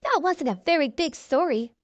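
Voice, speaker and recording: A woman's voice: sits high, animated, moderate pace, no echo. A woman voicing an indignant, stubborn young girl superhero in a storybook, with a pouting, insistent delivery.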